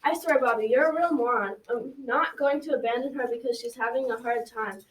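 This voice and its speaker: A woman's voice: fairly high pitch, fairly quick, close to an everyday voice. A young girl playing an earnest granddaughter in a school radio drama. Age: child or teen. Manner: earnest, conversational. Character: earnest granddaughter, a schoolgirl loyal to her friend. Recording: distant, faint noise.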